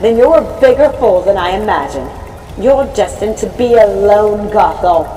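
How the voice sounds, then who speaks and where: Woman putting on a forceful, menacing witch queen mother. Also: mid-range voice, lively intonation, normal speed, in a large hall, far from the mic, a little hiss or hum, close to an everyday voice, poor audio. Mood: vengeful scorn. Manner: grandiose, scornful, threatening.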